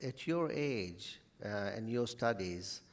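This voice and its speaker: A middle-aged man from Tanzania, a hotel chief executive, telling his career story at a measured pace. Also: lowish voice, lively intonation. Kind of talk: panel answer. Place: conference hall.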